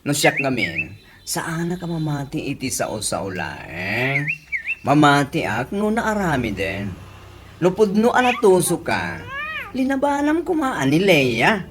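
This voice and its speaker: A woman's voice: deep voice, sing-song, slow pace, full character voice. A woman with an Ilocano accent playing a blunt, teasing, camp village friend in a comedy radio drama, sassy and reproving. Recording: noisy home-made radio drama recording.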